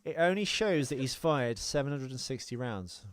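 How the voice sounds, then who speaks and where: A man's voice: medium pitch, animated, moderate pace. A man co-hosting a podcast, talking casually about films in a rambling, wry, explanatory way. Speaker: podcast co-host. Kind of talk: casual film discussion.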